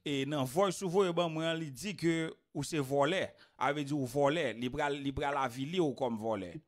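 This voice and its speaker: A Haitian man in his thirties hosting a video-call interview, asking questions haltingly with many fillers. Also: fairly high pitch. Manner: hesitant questions full of fillers. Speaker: host.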